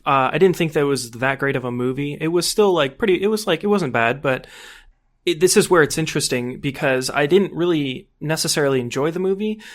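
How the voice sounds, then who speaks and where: A man chatting casually as a podcast guest, in a rambling, anecdotal way. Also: medium pitch. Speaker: podcast guest who hosts his own podcast. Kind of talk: casual chat.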